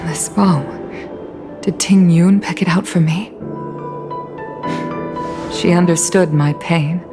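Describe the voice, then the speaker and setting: Low, slow, dignified female leader. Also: steady tone. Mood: wistful, subdued. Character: mature, dignified female leader, wistful and restrained. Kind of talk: video game voice acting. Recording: studio voice with clear music behind. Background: game music.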